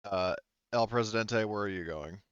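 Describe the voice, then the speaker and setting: A man running a tabletop game as game master, casually thinking aloud in a halting way. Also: fairly low pitch, smooth, no echo, highs cut off.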